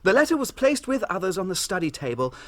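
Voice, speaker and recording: A prim, officious secretary in a period drama. The high, very mobile voice is formal and supercilious, recorded dry. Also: male voice, big pitch swings, quick pace, a bit bright, no room sound. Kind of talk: British period drama acting.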